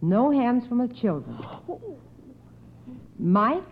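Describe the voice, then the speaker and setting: High-voiced woman hosting an old radio quiz. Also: very animated, slow pace, a little hiss or hum. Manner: polite, formal phrasing. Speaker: quiz show host. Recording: muffled old radio recording.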